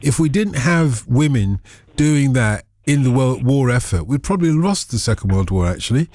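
A man's voice: mid-range voice, lively intonation, moderate pace, darkish tone, no room sound, studio quality. A man with a Liverpool accent hosting a radio phone-in, playful and jokey, often talking over his guest. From England. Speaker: radio show host. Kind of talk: casual radio phone-in chat.

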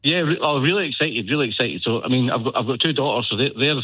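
Excited Scottish man calling by phone. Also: mid-range voice, fast, husky, dry recording. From Scotland. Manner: excited, rambling with restarts. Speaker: caller. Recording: phone line.

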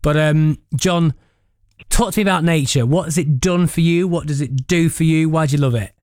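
A man's voice: fairly high pitch, a little mellow, no echo, studio quality. South Yorkshire man hosting a radio phone-in. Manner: friendly, chatty, quick to joke. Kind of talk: casual radio chat with callers. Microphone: studio microphone.

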